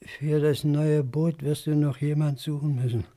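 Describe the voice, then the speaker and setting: A male actor plays an anxious man in a 1950s radio play. His voice sits fairly high and moves very slowly, faltering and doubling back on words in a fearful, uncertain way. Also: flat delivery, mellow, close to an everyday voice. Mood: weak, resigned. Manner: halting, repeating words, faltering. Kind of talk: 1950s German radio drama acting.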